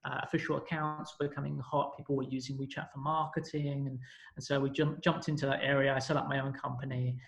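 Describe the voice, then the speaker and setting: A man's voice: medium pitch, flat delivery, quick pace, creaky. A British man from London in his thirties, a podcast guest who tells his story in a rambling, self-correcting way. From London, England. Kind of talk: casual storytelling interview. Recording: echoey room.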